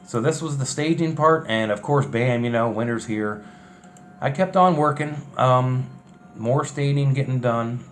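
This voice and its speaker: A man's voice: mid-range voice, a little slow. A man giving casual, unscripted narration over DIY build footage in a folksy, colloquial way. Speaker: vlogger recapping a DIY bus conversion. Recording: clear steady background noise, ambience.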